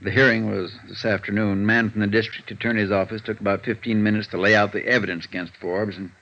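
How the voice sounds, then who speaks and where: An actor playing a resigned, plain-spoken American lawyer in a 1950s radio drama, terse and matter-of-fact. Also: male voice, fairly low pitch, level tone, quick pace, a bit bright. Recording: muffled vintage radio recording.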